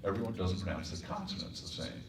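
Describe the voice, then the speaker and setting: A man from Colorado with a very deep voice, a voice actor and composer telling wry stories on a stage panel. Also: huge pitch swings, fast talker. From Colorado, United States. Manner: storytelling, wry, reflective. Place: performing arts center stage. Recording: echoey hall, distant mic, faint hum.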